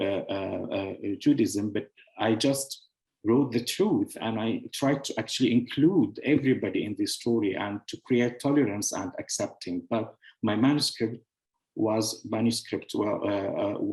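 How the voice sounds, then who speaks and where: Founder type: man, lowish voice, hesitant phrasing, echoey room. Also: vocal fry, a little mellow. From Syria. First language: Arabic. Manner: halting, searching for words with many fillers. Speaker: founder presenting his publishing house. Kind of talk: showcase presentation. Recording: roomy echo.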